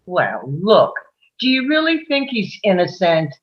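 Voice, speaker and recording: A woman as a forceful juror, animated, dull recording. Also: medium pitch, a little slow. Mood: insistent. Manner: insistent, emphatic. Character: forceful, opinionated juror sure of guilt. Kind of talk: dramatic table read of a stage drama.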